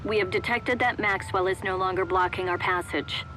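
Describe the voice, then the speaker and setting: A woman's voice: mid-range voice, steady tone, moderate pace, bright, forceful tone, soft background music, natural voice, poor audio. An actress playing a formal, official-sounding female company agent in a video game. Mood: businesslike. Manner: clipped, official.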